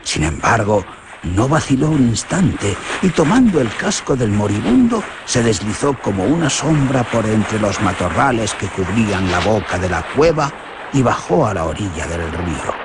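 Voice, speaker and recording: A man's voice: sing-song, normal speed. A man narrating a radio horror drama in a theatrical, dramatic way. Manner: theatrical, with big swings in pitch. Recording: noisy radio drama recording.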